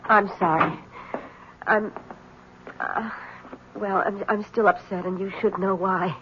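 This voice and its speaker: An actress playing a troubled, guarded wife in a 1970s radio drama, pleading and evasive in a formal way. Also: female voice, mid-range voice, steady tone, slow pace, close to an everyday voice. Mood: uneasy, guarded. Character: guarded, troubled young wife warning a man away. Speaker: actor. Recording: dull, hissy old radio recording.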